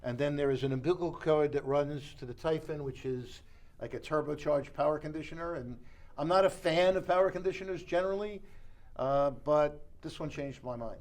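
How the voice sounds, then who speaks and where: Higher-voiced man giving a product walkthrough. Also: brisk, a little mellow. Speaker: audio dealer giving a product demonstration. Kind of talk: informal show-room product walkthrough. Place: exhibition suite at an audio show.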